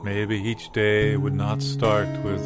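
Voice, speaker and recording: A man delivering a wistful, deadpan comic monologue in a musing way with long pauses. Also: fairly low pitch. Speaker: improv comedy performer. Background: acoustic or plucked guitar music.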